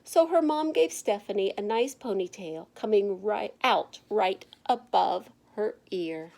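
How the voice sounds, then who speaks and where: A woman reading a picture book aloud to children in an expressive, emphatic narrating style. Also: medium pitch, measured pace, creaky. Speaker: children's storybook reader.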